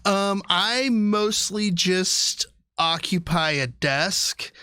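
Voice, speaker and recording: A man's voice: high-pitched, extremely slow, bright, forceful tone, clear, resonant tone, no echo, studio quality. A man appearing as a guest player on a livestream, bantering casually in a joking, self-deprecating way.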